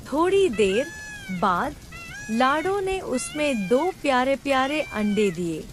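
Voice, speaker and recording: A woman's voice: high-pitched, sing-song, slow. A woman narrating a scripted cartoon children's story as the storyteller.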